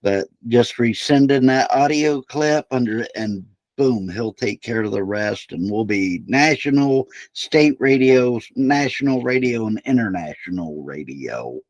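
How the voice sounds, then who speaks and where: A man's voice: medium pitch, lively intonation, unhurried, few pauses, dry recording, dull audio. Easygoing Midwestern man, rambling. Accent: American Midwest. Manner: rambling, easygoing, casual swearing. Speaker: podcast host. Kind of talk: casual chat.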